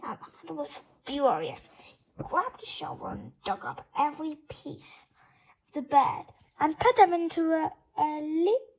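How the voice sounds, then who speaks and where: A storyteller with a childlike voice telling a folktale for children in a slow, deliberate way. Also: sits high, sing-song, poor audio.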